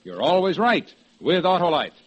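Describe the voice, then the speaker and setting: A man's voice: a little high, sing-song, moderate pace, a little hiss or hum. An American man reading a scripted vintage radio commercial as a folksy, emphatic salesman. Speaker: commercial announcer. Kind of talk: 1940s radio advertising pitch.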